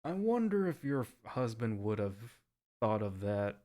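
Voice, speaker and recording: A man hosting an ASMR roleplay stream, chatting softly to his listeners. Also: on the low side, very animated, unhurried. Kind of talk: soft ASMR roleplay chat.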